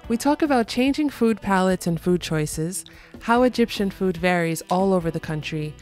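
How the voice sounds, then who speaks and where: A woman's voice: lowish voice, expressive, moderate pace, darkish tone, faint music behind. A woman hosting a podcast, reading a scripted intro and then chatting eagerly with her guest. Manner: enthusiastic, eager. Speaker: podcast host.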